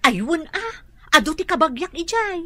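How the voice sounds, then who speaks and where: A woman's voice: very high voice, very animated, moderate pace, fairly bright tone, no echo, heavily acted. An actress in a radio drama playing a boastful neighbor who brags about her children.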